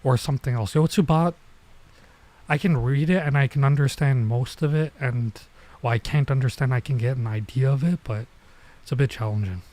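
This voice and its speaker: Casual, mellow-voiced man streaming. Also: medium pitch. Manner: halting, thinking aloud, mixing in Japanese phrases. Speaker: streamer, let's player. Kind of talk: casual let's-play commentary, reading game dialogue aloud.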